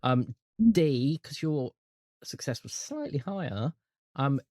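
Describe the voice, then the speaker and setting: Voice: mid-range voice, lively intonation, slow, darkish tone, no room sound, studio quality. A game master narrating a tabletop role-playing game and chatting with the players.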